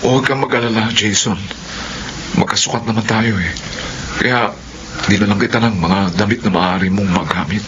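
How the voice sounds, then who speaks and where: An actor playing a kind, generous young suitor in a radio drama, earnest and reassuring in manner. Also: male voice, on the low side, normal speed, pauses often, natural voice. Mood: concerned. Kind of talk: Tagalog radio drama acting. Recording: noisy, dull radio drama audio.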